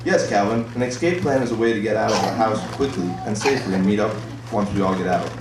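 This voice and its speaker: A man's voice: lowish voice, fast talker, close to an everyday voice. A man playing a friendly firefighter who teaches children fire safety in an encouraging, instructive way in a scripted show. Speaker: firefighter presenter. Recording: echoey hall, distant mic, noisy audience.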